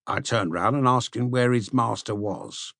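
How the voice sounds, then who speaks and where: A man narrating a literary audiobook in an expressive storytelling manner, with a gravelly, husky voice. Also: mid-range voice, unhurried, no room sound, studio quality. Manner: expressive, storytelling delivery with shifts for dialogue. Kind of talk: scripted literary narration with character dialogue.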